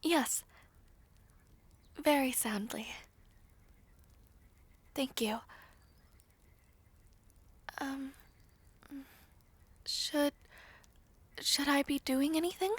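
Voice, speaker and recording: A woman playing a shy, humble young former maid in an audio roleplay, soft-spoken, hesitant and formally polite. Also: high voice, extremely slow, long pauses, no echo. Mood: flustered, polite. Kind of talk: scripted audio roleplay acting.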